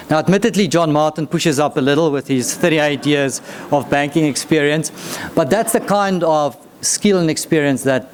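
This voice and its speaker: A man's voice: on the high side, a little mellow, slight background noise. A man giving a proud, emphatic speech about his team at a launch event. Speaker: executive speaker.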